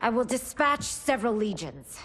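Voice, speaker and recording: An actress playing a weary, commanding empress in a video game, formal and imperious. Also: female voice, fairly high pitch, very animated, normal speed, fairly bright tone. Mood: exasperated, commanding. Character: regal, weary empress burdened by threats, commanding. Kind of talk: video game cutscene acting.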